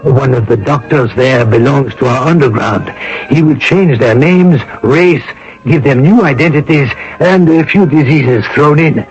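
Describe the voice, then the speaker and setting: An actor playing a kindly, courageous older French priest in a radio drama. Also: male voice, medium pitch, unhurried, natural voice. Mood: concerned, kindly. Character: kindly, courageous older French monsignor, warm but defiant. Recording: dull old radio recording with loud music.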